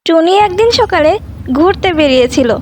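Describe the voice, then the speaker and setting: High-pitched woman narrating a children's tale. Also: expressive, moderate pace, darkish tone, slight background noise. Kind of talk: scripted children's story narration.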